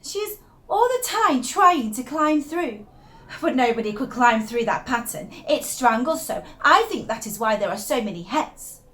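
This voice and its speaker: A woman's voice: a little high, big pitch swings, normal speed. A woman playing an unravelling, obsessive young wife who confides her secrets in a theatrical, intense first-person monologue. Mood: agitated, marvelling. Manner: confiding, intense, theatrical, with shifts from hushed to emphatic.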